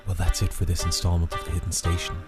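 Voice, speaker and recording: A man's voice: low-pitched, monotone, fast, loud music behind the voice. A man hosting a horror anthology podcast, reading a theatrical scripted sign-off. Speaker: podcast host.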